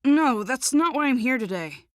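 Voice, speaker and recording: A woman's voice: sits high, animated, moderate pace, no echo, studio quality. A woman voicing an earnest, determined young ninja boy in an anime game dub, serious and urgent. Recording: background music.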